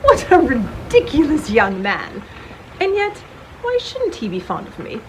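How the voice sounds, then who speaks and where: A woman's voice: high voice, wildly swooping pitch, a little slow, slight background noise, heavily acted, poor audio. A woman playing a prim, shy, proper unmarried librarian in a dramatized novel reading, speaking politely and with restraint. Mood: shy musing.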